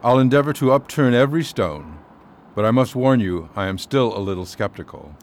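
An actor playing a shrewd, skeptical London solicitor in a radio drama, speaking in a measured, clipped way. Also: male voice, medium pitch, expressive, slight background noise, lightly acted. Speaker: lawyer.

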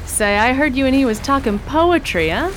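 A woman's voice: high voice, lively intonation, normal speed. A woman playing a tough, slangy dame. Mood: knowing. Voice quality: streetwise slangy drawl. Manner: blunt, knowing, protective. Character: tough, worldly woman adventurer on a coaster. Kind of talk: radio drama acting. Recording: noisy hissy recording.

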